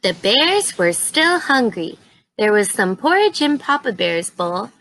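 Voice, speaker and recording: Slow, animated woman reading a children's story. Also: mid-range voice, low-quality recording. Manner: expressive, deliberate. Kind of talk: read-aloud narration of a children's story.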